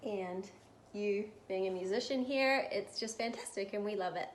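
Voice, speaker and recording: A woman's voice: medium pitch, moderate pace, a little hiss or hum. Echoey New Zealand woman chatting. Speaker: interviewed guest, dancer. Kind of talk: casual chat.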